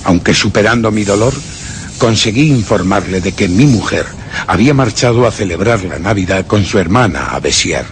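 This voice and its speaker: Radio suspense: brooding male murderer-narrator, Castilian accent, literary and theatrical, animated delivery over noisy ambience. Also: lowish voice. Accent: Castilian Spanish. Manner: theatrical, literary, ominous, dropping to whispers. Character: brooding, scheming murderous man narrating his crime.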